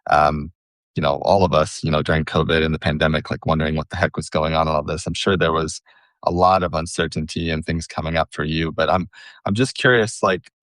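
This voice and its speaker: A man's voice: very deep voice, fairly even intonation, brisk, fairly bright tone, no room sound. A man hosting an interview, asking long, hesitant questions padded with fillers. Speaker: podcast host.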